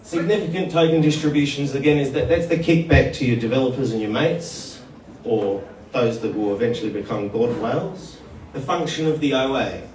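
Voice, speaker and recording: A man giving a live conference talk, informal and halting, calling people mate. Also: mid-range voice, a little mellow. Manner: informal, addresses people as mate, restarts phrases. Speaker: conference speaker. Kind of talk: live presentation to an audience. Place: conference hall. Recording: echoey hall, picked up from far off.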